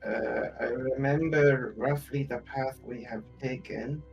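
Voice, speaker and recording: A man's voice: mid-range voice, fairly even intonation, takes it slowly, creaky, highs cut off, soft background music. A man playing in a tabletop roleplay game, talking casually in and out of character.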